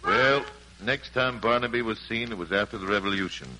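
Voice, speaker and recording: A man playing a folksy American storyteller, narrating family tales in an unhurried 1940s radio style. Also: on the low side, bright, forceful tone, rough recording. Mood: homespun, storytelling. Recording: old 1940s radio broadcast.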